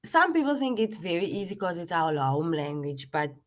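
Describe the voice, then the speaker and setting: Low-voiced woman student, hesitant. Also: very animated, moderate pace. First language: Afrikaans. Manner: hesitant, repeats words as she starts. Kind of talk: casual chat on a video call. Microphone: computer mic on a video call.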